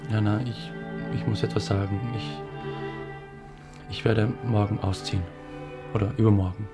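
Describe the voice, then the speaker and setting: A man's voice: on the low side, flat delivery, unhurried, over music, natural voice. An actor playing a brooding, weary man who narrates his own story in a radio drama, subdued and sometimes hesitant. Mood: weary, defensive. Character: brooding, weary male first-person narrator under suspicion.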